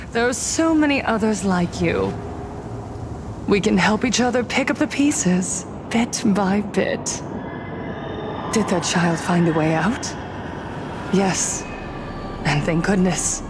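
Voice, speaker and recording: A bitter, wounded young woman telling a tragic game story. Her mid-pitched voice is slow and measured, with clear music underneath. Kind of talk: dramatic game narration.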